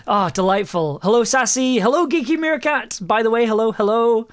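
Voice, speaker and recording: A woman's voice: a little high, animated, normal speed. A woman hosting a live group stream, chatting casually and playfully, repeating words for effusive emphasis. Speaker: host of a live audio drama stream. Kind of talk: livestream welcome and casual chat.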